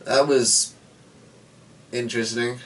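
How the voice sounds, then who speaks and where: A man with a mid-pitched voice narrates a story in a dramatic reading style. He sounds a little away from the mic, with clear noise in the background. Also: fairly even intonation, slow pace, recorded from a distance, low-quality recording. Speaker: story reader.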